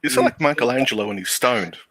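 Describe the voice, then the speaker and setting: A man's voice: medium pitch, fast, fairly bright tone, no echo. A man from Europe bantering on a stream in a sardonic, teasing way.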